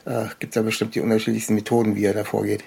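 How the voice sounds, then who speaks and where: A man's voice: fairly low pitch, little pitch movement, very fast, gravelly, crowd noise. A man hosting a podcast in a casual interview style, feeling his way through his phrasing. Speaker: podcast host.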